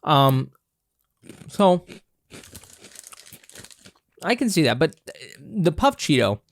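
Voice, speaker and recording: A man's voice: on the high side, very animated, very slow, creaky. A congested man hosting a podcast, chatting casually and rambling with many restarts while he snacks. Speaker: podcast host. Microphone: own camera and mic, close and dry.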